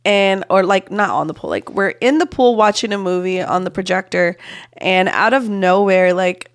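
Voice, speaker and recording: A young woman talks in a loose, chatty way, her voice raspy and congested from a cold. She fills her talk with "like" and "you guys" as she co-hosts a relaxed family podcast. Her pitch sits at a middling level for a woman. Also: normal speed. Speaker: podcast co-host. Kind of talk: casual chat.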